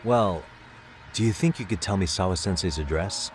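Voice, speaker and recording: Guy acting a calm low voiced detective, politely pushy, game cutscene with music under it. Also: natural voice. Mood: polite, probing. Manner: measured, reasoning, politely insistent. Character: calm, persuasive male detective pressing for information. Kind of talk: dramatic game cutscene dialogue.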